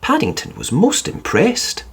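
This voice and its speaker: A woman reading a children's storybook aloud as an expressive narrator. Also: mid-range voice, a little slow, slightly rough. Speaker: storybook reader.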